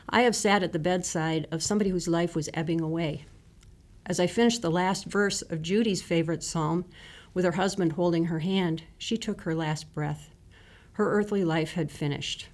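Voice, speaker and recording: A woman reading scripture and a written reflection in a measured, solemn manner. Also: lowish voice, darkish tone. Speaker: devotional reader.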